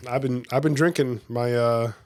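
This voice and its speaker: A man with a Midwestern American accent co-hosting a podcast while sick, deep-voiced and coughing, with laid-back dry humor. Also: fairly even intonation, normal speed, darkish tone, no echo. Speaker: podcast co-host. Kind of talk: casual banter. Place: podcast studio.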